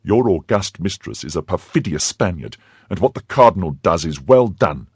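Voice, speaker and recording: A man's voice: sits low, sing-song, moderate pace, a little mellow, breathy edge, no room sound, clean studio sound, exaggerated voice. A man doing a pompous middle-aged mercer. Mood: smug. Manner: self-important, blustering. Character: pompous fifty-year-old mercer, cowardly and greedy.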